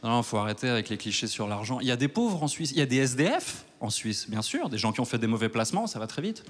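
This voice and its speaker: A man in his thirties from Switzerland doing a stand-up set on a large theater stage with a handheld mic. He talks in a wry, self-mocking way and works up to loud mock outrage. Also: mid-range voice, lively intonation, quick pace. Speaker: stand-up comedian. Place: large theater stage before a live audience.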